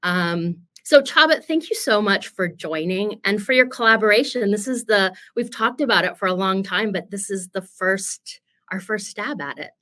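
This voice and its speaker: A woman's voice: mid-range voice, normal speed, bright, forceful tone. A woman hosting an interview for a webinar, introducing topics and putting questions to a guest. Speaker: interview host, business founder.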